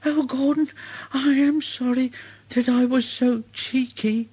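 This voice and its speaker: A man voicing a small, cheeky young tank engine in a storybook reading, with a high, squeaky, flustered voice. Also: fairly even intonation, slow pace, dark tone, slightly rough, heavily acted. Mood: contrite.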